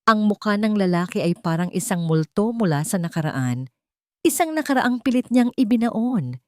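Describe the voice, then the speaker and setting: A woman with a Filipino accent reading scripted, dramatic love-story narration in a measured storytelling manner. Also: mid-range voice, animated, no room sound, clean studio sound.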